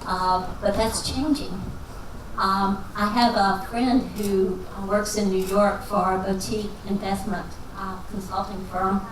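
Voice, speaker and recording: A woman from Texas speaks as a guest finance professor at an evening lecture, folksy and quick to laugh at herself. Her voice comes from far off in a reverberant hall, with steady noise under it. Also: medium pitch. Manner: folksy and self-deprecating, jokes and laughs as she talks. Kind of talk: answering questions at a lecture.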